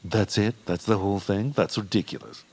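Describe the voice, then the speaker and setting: An actor playing a pompous, grumpy villainous baron who grudgingly softens, in a comic audio drama. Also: male voice, lowish voice, fairly quick, breathy edge. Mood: dismissive. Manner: pompous, dismissive, theatrical. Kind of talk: comic audio fiction acting.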